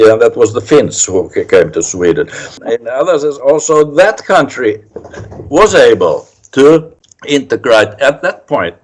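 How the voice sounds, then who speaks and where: Halting low-voiced Finnish-accented man. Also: rough recording. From Finland. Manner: halting, many restarts and fillers. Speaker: criminology professor, guest. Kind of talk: academic interview answers.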